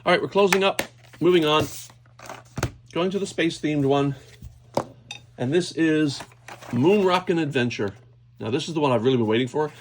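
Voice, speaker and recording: A man hosting a casual, unscripted toy unboxing, thinking aloud hesitantly as he handles things. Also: medium pitch. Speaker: toy unboxing video host. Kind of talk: casual unscripted hands-on commentary. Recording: clear background noise, clicking handling sounds.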